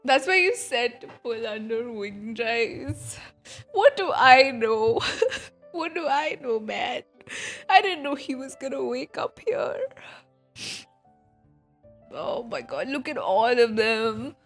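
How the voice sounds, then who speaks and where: A young woman with a high voice streams her game reactions. She talks slowly and informally, in an emotional, gushing way, with faint music behind her. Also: animated. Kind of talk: casual livestream reaction chat.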